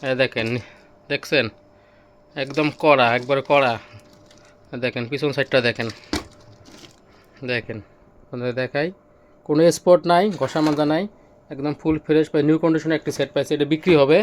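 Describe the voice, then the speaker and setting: High-pitched man giving repetitive sales patter. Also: fairly even intonation, moderate pace, pauses often. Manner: repetitive sales patter urging viewers to look. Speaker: seller presenting used car stereo gear. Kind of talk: informal sales demonstration video.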